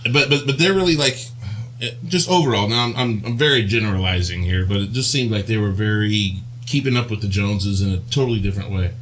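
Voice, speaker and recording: Male host, low voice, animated storyteller, casual. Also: quick pace, a little hiss or hum. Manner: animated storyteller, laughs while talking. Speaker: podcast host. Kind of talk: casual podcast chat.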